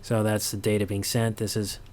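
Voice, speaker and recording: Low-voiced man giving a halting tutorial. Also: completely flat delivery, heavy background noise. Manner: halting, thinking aloud. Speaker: sound designer giving a tutorial. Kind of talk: informal unscripted tutorial.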